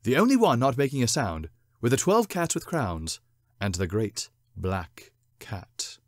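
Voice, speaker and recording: A man narrates in a dramatic storybook style. His mid-pitched voice moves widely and expressively, with deliberate theatrical phrasing, and the recording is dry. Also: wildly swooping pitch, no room sound. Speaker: narrator, short story reader.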